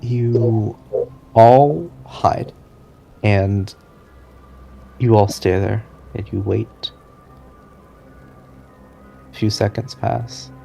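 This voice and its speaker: A man narrating a tabletop game as game master, improvising suspensefully with pauses in mid-sentence. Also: lowish voice, gravelly, dark tone, soft background music.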